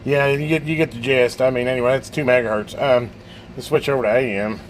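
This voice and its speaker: A man's voice: medium pitch, steady tone, fast, slight background noise. A man giving a casual, hands-on demonstration as a video host. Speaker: radio restorer, video host.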